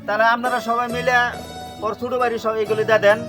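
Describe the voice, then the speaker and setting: A man with a Bangladeshi accent playing a hesitant village arbiter in a family drama, speaking haltingly. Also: very high-pitched, level tone. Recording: background music over dialogue.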